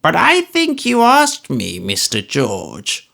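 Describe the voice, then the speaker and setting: A man voicing a spiteful, gleeful old man with a shrill, cackling, drawn-out delivery. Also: wildly swooping pitch, creaky, bright, forceful tone, heavily acted. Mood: mocking. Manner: mocking, vindictive, drawn out.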